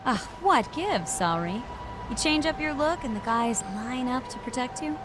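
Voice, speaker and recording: Candid woman over clear background noise. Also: sits high, expressive, moderate pace. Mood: teasing, indignant. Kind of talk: English video game dub dialogue.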